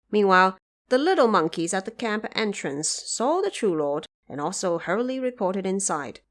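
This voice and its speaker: Expressive woman narrating a classic epic. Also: mid-range voice.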